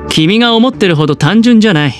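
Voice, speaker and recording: A man voicing a smug, scheming young gambler in a Japanese anime game, with smooth, teasing, theatrical delivery. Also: high voice, animated. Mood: amused, teasing. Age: young adult. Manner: smooth, theatrical, teasing, drawn-out dramatic pauses. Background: background game music.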